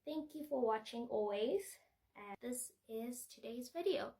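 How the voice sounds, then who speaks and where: A woman vlogger speaking to the camera in an earnest, measured, reflective way. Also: on the high side, warm tone, distant mic. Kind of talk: personal vlog monologue.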